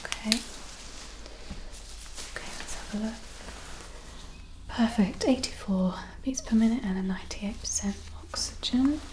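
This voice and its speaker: A woman softly whispering a medical ASMR roleplay as a doctor, breathy, gentle and reassuring. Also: fairly high pitch, a little slow, long pauses. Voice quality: breathy, mostly whispered soft voice. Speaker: ASMR performer roleplaying a doctor giving a physical exam. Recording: roomy echo, distant mic, clear background noise.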